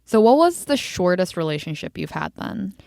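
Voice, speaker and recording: A woman's voice: lowish voice, sing-song, moderate pace, creaky, warm tone, dry recording, studio quality. A woman from Hong Kong hosting a podcast, chatting casually and teasing her guest with frequent laughter. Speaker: podcast host.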